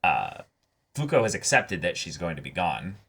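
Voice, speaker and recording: A man co-hosting a reaction show, talking casually and thinking aloud in halting phrases as he discusses a show. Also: low-pitched, big pitch swings, gravelly, a bit bright, slight background noise. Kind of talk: casual discussion of an anime episode.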